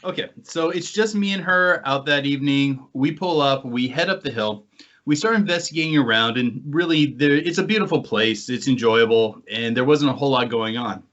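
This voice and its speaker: An American man hosting a podcast, telling anecdotes in a casual, animated storytelling way. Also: medium pitch, moderate pace. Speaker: podcast host and paranormal investigator. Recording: dull, lo-fi online call audio.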